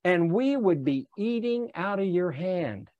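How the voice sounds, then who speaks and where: An older man presenting in an online club session, speaking emphatically and deliberately and repeating phrases for effect. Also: sits high, expressive, no echo, lo-fi sound. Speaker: workshop presenter. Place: indoor room on a video call. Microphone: clip-on lapel mic.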